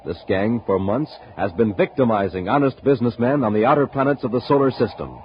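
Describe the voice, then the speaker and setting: A man's voice: mid-range voice, fairly quick. A man announcing and narrating a 1950s radio show in a dramatic, punchy scripted style. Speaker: announcer and pitchman. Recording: noisy, muffled 1950s radio recording.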